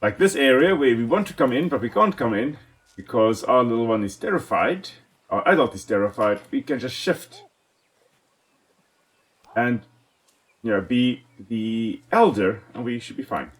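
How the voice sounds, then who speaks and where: South African man, Afrikaans first language, animated mid-pitched voice, chatty enthusiastic gameplay host. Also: moderate pace. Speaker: let's play host and ecologist. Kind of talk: casual gameplay commentary.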